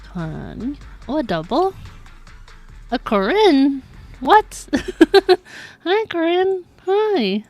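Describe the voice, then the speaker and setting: A woman's voice: sits high, very animated, extremely slow, long pauses, a little mellow, dry recording, soft background music. A woman livestreaming a game, talking casually in a chatty, giggly, informal way. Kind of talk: casual live gaming commentary.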